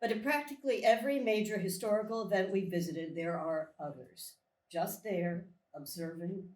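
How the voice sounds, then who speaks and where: A woman's voice: mid-range voice, moderate pace, darkish tone, close to an everyday voice. Woman playing a blunt colonel, echoey stage. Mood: grave, probing. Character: blunt, probing female military colonel in command. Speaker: actor in a live table read. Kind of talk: dramatic script reading. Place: small live theatre stage. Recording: echoey theatre, far from the mic.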